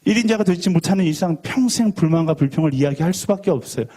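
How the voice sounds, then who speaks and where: Warm-voiced young Korean preacher, chatty. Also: male voice, sits high, animated, quick pace. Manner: conversational preaching, rhetorical questions, self-deprecating asides. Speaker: youth pastor. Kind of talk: sermon to a college group.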